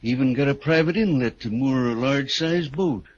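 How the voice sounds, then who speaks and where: A man's voice: medium pitch, lightly acted. A man playing a hesitant, folksy house agent in a 1940s radio drama, on a muffled old recording. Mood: reticent. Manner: halting, drawn-out, reticent. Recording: muffled, faintly noisy vintage radio recording.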